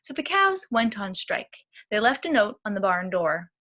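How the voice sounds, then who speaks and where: A woman teacher giving an expressive, animated read-aloud for young children. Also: mid-range voice, moderate pace. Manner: expressive, clearly addressing children. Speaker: elementary school teacher.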